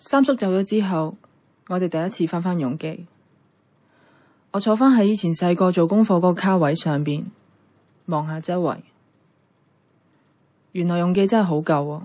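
A woman's voice: lowish voice, warm tone, close to an everyday voice. An actress with a Hong Kong Cantonese accent playing a thoughtful, frank young woman in a radio drama. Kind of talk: radio drama dialogue and narration.

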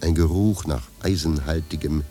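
A man giving scripted literary narration for a radio play in a measured, deadpan manner. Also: rumbling low, soft background music.

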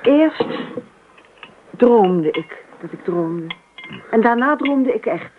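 An actress in a Dutch radio play, playing a dreamy, chatty wife who rambles on insistently and repeats herself. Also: female voice, a little high, animated, slow pace. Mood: eager, insistent. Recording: tinny band-limited radio recording with hiss.

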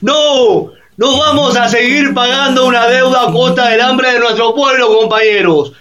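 A man's voice: very high voice, steady tone, normal speed, pushed and bright. A man playing a fiery, defiant Latin American president delivering an impassioned, oratorical speech to the people in a radio play. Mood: defiant, rousing.

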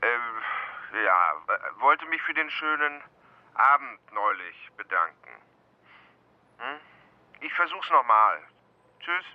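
An actor playing a halting, lovesick man leaving messages on a muffled answering machine. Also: medium pitch, expressive, no echo. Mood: pleading.

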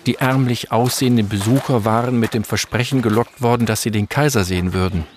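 Ein Mann erzählt sachlich im Stil einer Dokumentation in einem satirischen Hörspiel. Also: male voice, mid-range voice, brisk, slight background noise, lightly acted. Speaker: narrator. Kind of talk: scripted mock-documentary narration.

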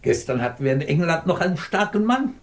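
Scornful, domineering scientist, swooping delivery. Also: male voice, on the high side, sing-song, a little fast. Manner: cynical, cutting, commanding. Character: ruthless, scornful British scientist, cold and domineering. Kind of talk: 1950s German radio drama acting. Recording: 1950s radio production.

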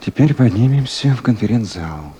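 A man's voice: mid-range voice, lively intonation, normal speed, dark tone, a little hiss or hum, close to an everyday voice. An actor playing a weary man on long night duty, musing to himself in short phrases in a 1970s Soviet radio play.

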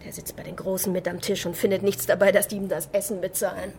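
An actress playing a sharp-tongued, sardonic animal-rights activist in a German radio crime drama. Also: female voice, mid-range voice, flat delivery, quick pace, slight background noise, close to an everyday voice. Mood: scornful. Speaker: activist and café owner.

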